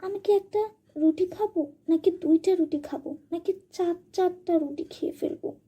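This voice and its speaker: A woman's voice: extremely high pitch, moderate pace, dark tone, pitched above the performer's own voice. A woman voicing an innocent, caring young village girl in a cartoon, speaking in an earnest, childlike, pleading way. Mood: tired, musing.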